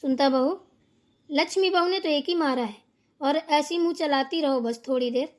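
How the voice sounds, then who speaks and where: A woman's voice: high-pitched, moderate pace, natural voice. A woman voicing a domineering older mother-in-law in a family cartoon, scolding and nagging with sarcastic questions. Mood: stern, threatening. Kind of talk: amateur Hindi family cartoon dialogue.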